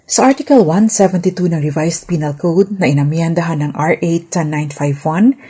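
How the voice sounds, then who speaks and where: A Filipino woman hosts a scripted legal explainer. Her voice is lowish and husky, with a mellow tone. She is formal and orderly, putting emphasis on each key point. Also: moderate pace.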